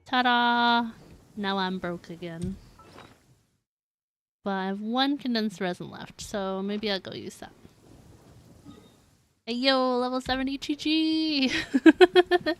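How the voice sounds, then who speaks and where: A woman's voice: on the high side, takes it slowly, long pauses. A woman chatting casually and playfully on a gaming livestream, giggly and given to exclamations. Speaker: game live streamer.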